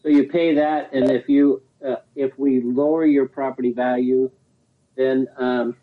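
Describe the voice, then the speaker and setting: A man's voice: medium pitch, level tone, measured pace. A man serving as hearing officer, explaining procedure in a plain, matter-of-fact way. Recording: tinny phone line.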